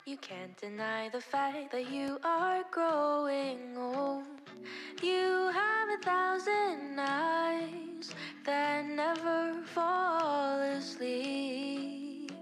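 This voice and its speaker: A woman singing a slow song with musical accompaniment. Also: high-pitched, over music.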